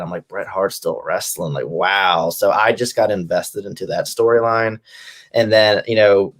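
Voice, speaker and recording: A man's voice: sits low, gravelly. A man in his twenties giving a casual, conversational interview as a guest, loose and informal in phrasing. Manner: conversational, peppers speech with "like" and "you know". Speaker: interview guest, wrestling fan and promoter. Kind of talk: casual chat.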